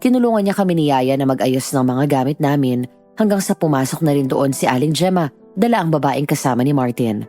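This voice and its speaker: A woman's voice: very deep voice, lively intonation, fairly quick, dark tone, faint music behind. A woman giving scripted first-person narration of a horror story.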